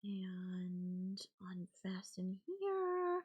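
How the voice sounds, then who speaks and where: A young woman playing a sweet, possessive yandere girlfriend in an intimate audio roleplay, speaking softly and haltingly to the listener. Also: mid-range voice, sing-song, slightly rough, highs cut off, exaggerated voice. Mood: doting, gentle.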